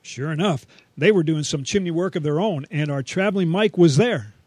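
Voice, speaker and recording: Casting: male radio co-host, slightly high voice, scripted home-advice banter, dry close studio sound. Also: brisk, a little mellow, no room sound.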